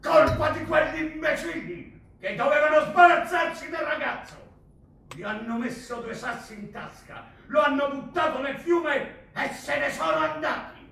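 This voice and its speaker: In a very reverberant theater, a scornful, bullying army colonel. His voice is pitched very high and has a hard, piercing edge. He drags out barked orders and contemptuous questions with stagey force. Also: vocal fry, bright, forceful tone, slight background noise, exaggerated voice. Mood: angry. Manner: barking, drawn-out, contemptuous questions. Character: bullying, foul-mouthed army colonel of Argentine junta, scornful and paranoid. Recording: echoey stage, somewhat distant mic.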